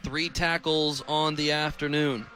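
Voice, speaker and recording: A young American man works as a radio play-by-play announcer. His voice sits high and has a bright, punchy edge. He delivers live football commentary, tracking each snap and ball carrier. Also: little pitch movement, measured pace, faint music behind. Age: 20s.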